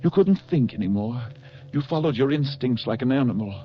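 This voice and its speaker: A man's voice: medium pitch, lively intonation, brisk, natural voice. Actor as earnest hunted man, muffled vintage radio. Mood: weary, haunted. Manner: earnest, dramatic, urgent. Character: hunted, fevered, weakened man, tender and fearful for his lover. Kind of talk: 1940s radio drama acting. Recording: muffled vintage 1940s radio recording with music.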